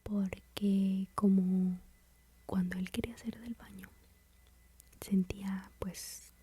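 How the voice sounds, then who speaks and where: A woman with a Mexican accent whispering softly as she tells a personal story about motherhood, in a confiding, rambling way. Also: medium pitch, fairly even intonation, slow pace, husky. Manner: soft, confiding, rambling with fillers.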